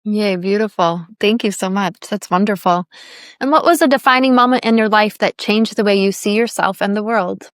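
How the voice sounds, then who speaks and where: A woman hosting a podcast, speaking in a soft, affirming and encouraging way. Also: mid-range voice, moderate pace, dry recording, clean studio sound. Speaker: podcast host. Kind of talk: podcast interview.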